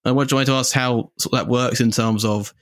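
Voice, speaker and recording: A man's voice: mid-range voice, fairly even intonation, moderate pace, a bit bright, dry recording. A man with a mid-range voice hosts an academic podcast conversation. He builds long, winding questions and feels for the right phrasing, recorded dry with no audible room. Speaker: podcast interviewer.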